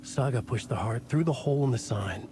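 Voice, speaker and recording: An actor narrating a dark tale in a video game, with measured, even storytelling. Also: male voice, medium pitch, crowd noise, close to an everyday voice. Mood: ominous. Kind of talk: scripted narration.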